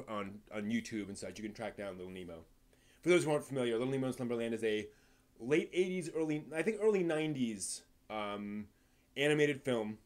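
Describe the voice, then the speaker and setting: A man with an American accent hosting a casual live stream, answering viewer questions in a halting, rambling, think-aloud way. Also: mid-range voice. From East Coast of the United States.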